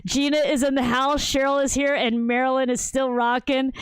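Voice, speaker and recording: A woman hosting a casual interview over a video call. She talks in a bubbly, excited way, laughs often and says "dude" and "like" a lot. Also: sits high, moderate pace, clear, resonant tone, dry recording. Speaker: show host.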